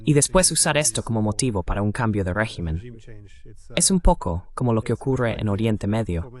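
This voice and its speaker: A man's voice: on the low side, sing-song, fairly quick, a little mellow. A man voicing a translated interview host in a political discussion.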